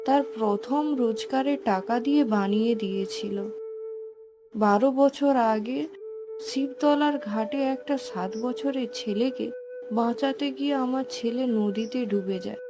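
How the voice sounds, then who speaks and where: A humble, bereaved cartoon fisherwoman, acted by a woman, high in pitch. She speaks plainly and sorrowfully, with clear music playing behind her. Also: a little slow. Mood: grieving. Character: needy, grieving mother selling river fish. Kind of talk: cartoon character dialogue.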